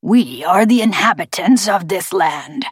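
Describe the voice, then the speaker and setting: A woman narrator puts on a male talking raven from an audiobook, proud and imperious. The voice is forced and gravelly, recorded very dry. Each phrase comes out formal and accusing, full of distrust. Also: lowish voice, animated, measured pace, no room sound. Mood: lecturing, stern. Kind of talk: audiobook character voice.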